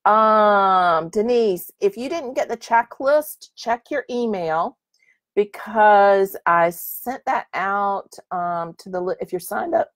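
A woman's voice: mid-range voice, slow, no room sound, clean studio sound. A woman, teaching painting on a live stream and answering viewers' questions by name in a chatty, encouraging way. Her voice is a little worn, as if she is losing it. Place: small room with curtained window, indoors.

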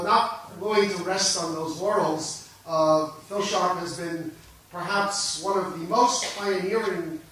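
Man, 60s, organizer's welcome, measured and upbeat, fillers, slightly high voice, handheld mic, very reverberant hall, distant. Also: fairly bright tone. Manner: fluent but filler-heavy, measured, enthusiastic. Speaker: conference organizer introducing speakers. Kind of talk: welcome speech and speaker introduction. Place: large conference hall, indoors. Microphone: handheld microphone. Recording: echoey hall, picked up from a distance.

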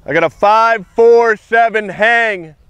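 A man timing punts on an outdoor football field, calling out hang times and distances in brisk, repetitive stat calls. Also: high voice, fairly bright tone, lo-fi sound, slight background noise. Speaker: kicking coach timing punts. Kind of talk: clipped play-by-play evaluation.

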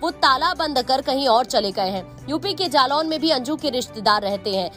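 A woman's voice: sits high, fairly bright tone. A woman with an Indian accent reading a scripted news report as narrator. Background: background music.

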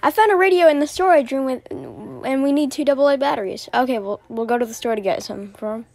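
A woman acting out lines as voiceover for an animated fan skit. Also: high-pitched, fast talker, creaky, dry recording.

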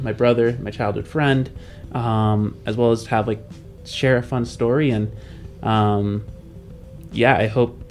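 A man's voice: on the low side, darkish tone. Young man chatting casually over music. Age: young adult. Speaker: podcast host and game master. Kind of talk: casual podcast chat.

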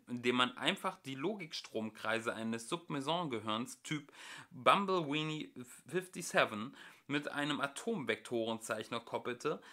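A young man reading a comic science-fiction audiobook aloud at home, expressive and dry in his timing. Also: medium pitch. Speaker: amateur audiobook reader. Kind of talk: audiobook narration. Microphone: home microphone.

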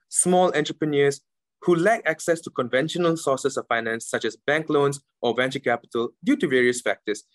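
A middle-aged man moderating an online panel over a video call, asking measured, formal questions. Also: a little high, fairly bright tone, no room sound. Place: home room on a video call.

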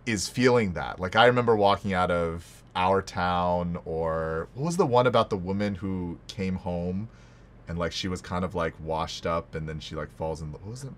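A man's voice: deep voice, normal speed. A man interviewing a friend in a casual, informal conversation, often checking in with the listener as he talks. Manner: informal, animated, tags phrases with "right?". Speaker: podcast host, interviewer. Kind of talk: casual chat among friends.

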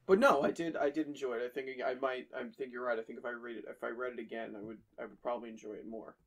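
A man's voice: mid-range voice, very fast. Easygoing podcast guy, mid voice, says right a lot, trips over his words. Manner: agreeable, repeats "right" and stumbles over restarts, swears. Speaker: podcast co-host. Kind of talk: casual chat reviewing a horror story.

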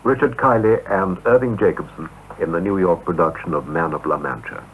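A man hosting a radio interview in a brisk, polite manner, heard through a tinny off-air cassette recording. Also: low voice, a little hiss or hum.

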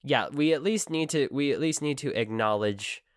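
A man co-hosting a casual book-club podcast, playful and animated, his voice worn out. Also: mid-range voice, normal speed, clear, resonant tone, no room sound, studio quality. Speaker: podcast co-host.

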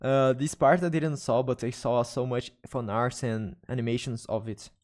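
A young man from Brazil with a Brazilian Portuguese accent, chatting with viewers in halting second-language English while gaming on a live stream. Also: mid-range voice, steady tone, darkish tone. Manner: halting non-native English, restarts and searches for words. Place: small room at a desk. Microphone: studio mic on arm, headphones.

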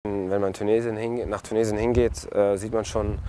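A young man from Germany answers questions outdoors at a sports ground in a loose, easygoing way. His voice sits on the low side and he often pauses with fillers. Steady outdoor noise runs behind him. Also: a loud crowd. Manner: relaxed, many fillers and restarts. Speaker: interviewee, footballer. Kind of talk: casual interview answers. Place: outdoors at a stadium and a café terrace.

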